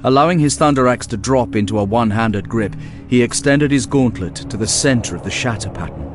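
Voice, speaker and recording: A man narrating a fantasy audiobook in a measured, grave, dramatic storytelling style. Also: medium pitch. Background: music.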